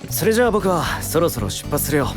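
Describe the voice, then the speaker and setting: Easygoing male defense lawyer and mentor: lively melodic delivery, casual and encouraging, over music. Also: a little high, quick pace. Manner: casual, encouraging, gently teasing. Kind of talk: Japanese audio drama acting.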